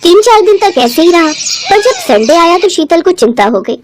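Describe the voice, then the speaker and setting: A woman's voice: extremely high pitch, very animated, moderate pace, background noise. A woman narrating a scripted bedtime story as the storyteller.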